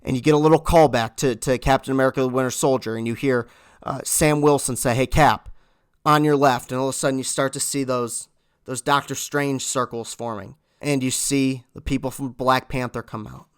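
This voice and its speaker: A man reviewing a film in a casual, excited, rambling storytelling way, swearing freely as he goes. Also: mid-range voice, fairly quick, smooth. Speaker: movie reviewer.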